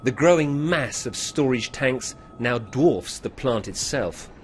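Male news correspondent, medium pitch, scripted delivery, faint ambient noise. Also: animated, slow pace. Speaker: news reporter. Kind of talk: scripted news report narration.

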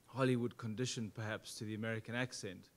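A man's voice: on the low side, steady tone, quick pace. A middle-aged man with a South African accent asking an audience question at a stand mic, hesitant and thoughtful. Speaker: audience member. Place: event hall.

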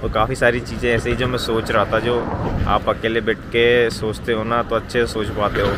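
A man's voice: on the low side, noisy background. A young man, chatting casually to his vlog viewers and calling them brother and friends. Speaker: vlogger. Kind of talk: casual vlog chat to camera. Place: outdoors by a road at dawn, later indoors in a room.

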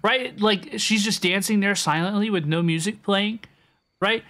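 A woman's voice: medium pitch, a little slow, a bit bright. A woman live-streaming a game with casual, playful, teasing reaction commentary, often repeating her phrases.

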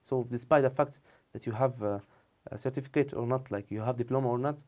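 A man in his twenties with an Arabic accent, answering interview questions in halting, repetitive non-native English. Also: medium pitch, a little mellow. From Saudi Arabia, of Tunisian family. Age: 20s. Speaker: interview guest, medical student. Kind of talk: conversational TV interview. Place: dark TV studio, indoors. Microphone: lapel mic.

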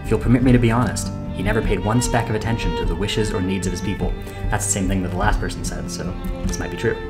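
Low-voiced young man reading game dialogue. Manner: reads character lines plainly, then chats casually with pauses and fillers. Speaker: let's play host. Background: game music.

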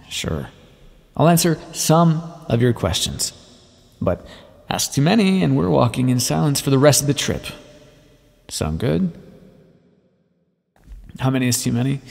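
A man playing a weary, guarded scientist captor in an intimate ASMR roleplay, speaking in a dry, brusque, halting manner. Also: mid-range voice, creaky, a little mellow, heavily acted. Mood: reluctant, guarded. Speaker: audio roleplay performer. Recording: long reverberant echo.

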